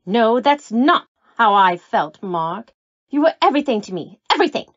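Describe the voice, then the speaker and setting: A woman's voice: on the high side, sing-song, normal speed, a bit bright, no echo, highs cut off. A woman acting a nervous, lovelorn scorned woman with a guilty secret in an old-time radio drama, coy and defensive. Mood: anguished, bitter.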